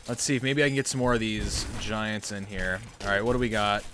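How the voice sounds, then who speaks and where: Low-voiced man narrating his gameplay. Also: fairly quick, fairly bright tone, slight background noise. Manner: thinks aloud, answers chat, self-mocking asides. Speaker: streamer. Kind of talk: casual gameplay livestream commentary.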